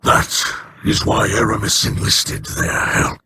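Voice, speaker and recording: Gravelly, solemn alien captain. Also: male voice, on the low side, level tone, bright, forceful tone, no room sound, clean studio sound, full character voice. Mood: solemn counsel. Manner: solemn, formal, halting delivery. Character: grave, weary alien captain, protective father. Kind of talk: video game voice acting.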